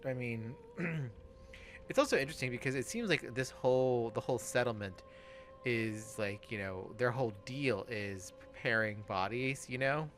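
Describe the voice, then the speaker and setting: A man's voice: mid-range voice, moderate pace, a bit bright, soft background music. A man hosting a let's play, giving casual gaming commentary.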